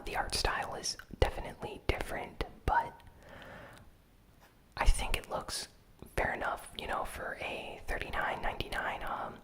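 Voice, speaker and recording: A person whispering a casual ASMR gaming news recap in a breathy, unvoiced, soft-spoken and informal way. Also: rough recording. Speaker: host of a gaming news show.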